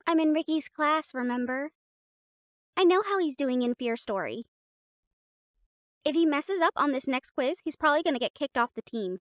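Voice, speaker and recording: Girl voice actor doing a high voiced sassy catgirl cheer captain, bossy mean girl type, super dry close sound, audio rp. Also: expressive, moderate pace, pauses often, no echo. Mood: teasing. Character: bossy, sharp-tongued young catgirl cheerleader captain, secretly fond. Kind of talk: scripted audio roleplay acting.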